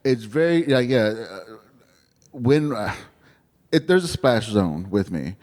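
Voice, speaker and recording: A man's voice: mid-range voice, big pitch swings, a little slow, a little mellow. Mumbling, stuttering man in a roomy space. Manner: self-deprecating, rambling. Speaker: podcast host and comedian. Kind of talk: casual chat. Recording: reverberant room.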